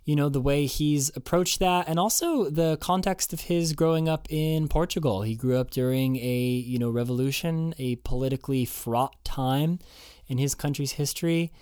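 A man's voice: a little high, normal speed, darkish tone, clear, resonant tone, no room sound, clean studio sound. A man hosting a podcast, introducing his guest in a loose, casual way full of fillers. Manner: casual, hedging with many fillers. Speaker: podcast host.

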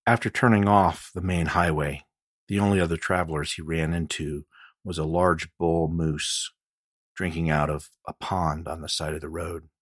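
A man with a husky voice reading scripted audiobook narration as the author of the story. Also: low-pitched, a bit bright, no room sound, clean studio sound.

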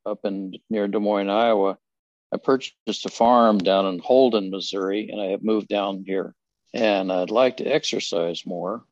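Older man, low voice, video-call participant, halting with fillers, dull dry line. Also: darkish tone, no room sound. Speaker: workshop participant.

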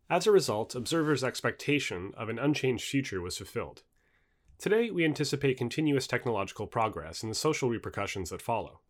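A man reading a nonfiction book aloud as scripted narration. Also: mid-range voice.

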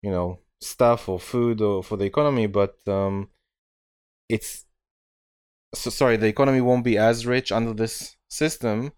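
A man's voice: fairly low pitch, fairly even intonation, normal speed, a little mellow, smooth, dry recording. A man hosting a podcast conversation, hesitant with many fillers as he asks his guest questions. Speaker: podcast host. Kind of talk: casual interview conversation.

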